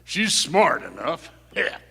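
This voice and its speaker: A man playing a gruff senior outlaw henchman with an American drawl in a live Western radio play. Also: fairly high pitch, very animated, slow pace, pushed and bright, heavily acted. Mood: gruff, knowing. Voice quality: gravelly, rough. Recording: live stage hall, long reverb.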